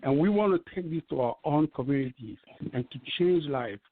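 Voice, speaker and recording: A man's voice: fairly high pitch, expressive, a little fast, slight background noise. A man in his fifties from Cameroon, giving an earnest, persuasive testimonial as a guest on a call. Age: 50s. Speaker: guest speaker. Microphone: call-in line. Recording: tinny phone-like call audio.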